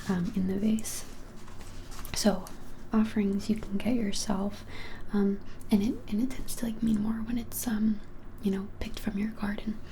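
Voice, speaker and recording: A woman in her twenties whispering a casual ASMR shopkeeper roleplay in a breathy, halting, helpful way. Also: mid-range voice, a little hiss or hum. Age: 20s. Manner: soft, hesitant, halting, helpful explaining. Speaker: ASMR creator playing a witch shop keeper. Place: small plain indoor room.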